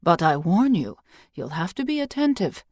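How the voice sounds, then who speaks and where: A woman voicing a wise, patient old priestess in an audiobook, measured and instructive with gentle teasing. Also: fairly low pitch, very animated, no echo, studio quality. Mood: gently teasing. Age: older.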